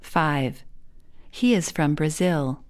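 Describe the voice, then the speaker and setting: A woman teacher reading a scripted repeat-after-me language drill in short, deliberate, clearly separated phrases. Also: deep voice, lively intonation, darkish tone.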